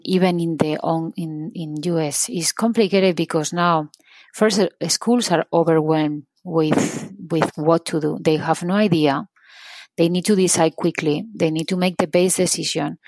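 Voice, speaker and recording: A woman's voice: lowish voice, darkish tone, dry recording. Spanish-accented businesswoman, dry close sound. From Spain. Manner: earnest, restarts phrases often. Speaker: company CEO, guest. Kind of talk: interview answers.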